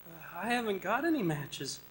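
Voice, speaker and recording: A woman playing a frightened, tearful young male miner in a radio drama, plaintive and drawn-out. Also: lowish voice, sing-song, poor audio.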